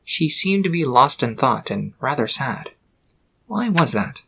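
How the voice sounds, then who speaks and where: A man's voice: mid-range voice, sing-song, measured pace, gravelly, sharp tone, slightly rough. A man reading scripted storybook narration for a fan fiction.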